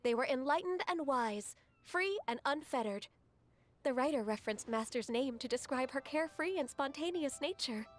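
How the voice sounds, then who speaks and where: A gentle, courteous aide. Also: female voice, high-pitched, expressive, normal speed. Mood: calm. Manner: soft-spoken and courteous. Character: gentle, polite young woman, an aide. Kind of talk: video game voice acting.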